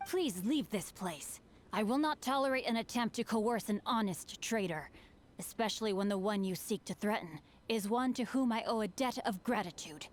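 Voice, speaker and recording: A woman's voice: mid-range voice, expressive, moderate pace, slight background noise, lightly acted. A woman voicing an earnest, bookish young male swordsman who speaks in formal, old-fashioned phrases and quotes proverbs. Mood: defiant. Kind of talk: video game character dialogue.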